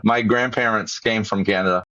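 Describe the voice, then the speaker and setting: A man's voice: on the low side, fairly even intonation, moderate pace, bright, forceful tone, no echo, muffled recording. A man with a Boston accent hosting a podcast interview, chatting casually and rambling with frequent restarts. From Massachusetts, USA. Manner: rambling, many restarts and repeated words. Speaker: podcast host.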